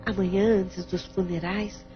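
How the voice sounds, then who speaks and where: Woman acting a caring Brazilian aunt, mid-pitched. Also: fairly even intonation, a little slow, lightly acted. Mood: earnest, concerned. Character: caring, devout adult sister and comforting aunt. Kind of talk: Brazilian audio drama acting. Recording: dull lo-fi audio under music.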